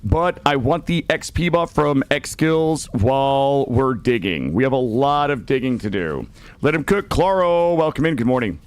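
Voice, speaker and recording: A man livestreaming a game, chatting casually and playfully with some mild swearing, his voice slightly gravelly and not yet warmed up. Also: mid-range voice, expressive, moderate pace, no echo. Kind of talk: casual gaming livestream commentary and chat.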